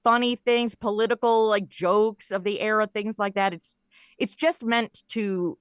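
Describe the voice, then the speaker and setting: A woman's voice: mid-range voice, steady tone, measured pace, dry recording, clean studio sound. A middle-aged woman, chatting casually and jokily as an interview guest. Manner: chatty, jokey, informal. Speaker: podcast guest, comedy writer and audio performer.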